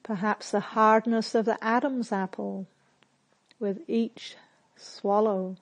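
A woman leading a guided meditation as a teacher, speaking gently and with measured, spare phrases separated by long silences. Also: medium pitch, steady tone. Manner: gentle, measured, softly instructive.

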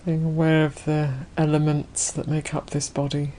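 A woman guides a meditation in a low, mellow voice. Her phrasing is gentle and spacious, with a soft, unforced delivery. There is faint ambient noise behind her. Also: monotone, normal speed. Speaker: Buddhist nun leading a guided meditation.

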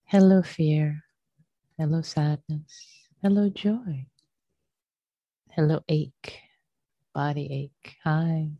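A woman teaching a guided meditation in a gentle, unhurried manner, leaving long pauses between short phrases. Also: deep voice, steady tone. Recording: muffled, roomy sound.

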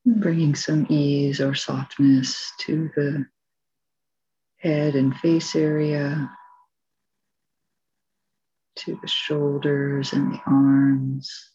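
A woman teaching meditation, giving calm, gentle spoken guidance with long pauses between phrases. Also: low-pitched, breathy edge, highs cut off. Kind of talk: guided meditation, soft spoken instruction.

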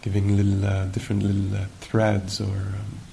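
A man leading a guided meditation as a teacher at a retreat, speaking in an unhurried, musing way with gentle questions and long pauses. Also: completely flat delivery, vocal fry. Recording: noisy live recording with low rumble.

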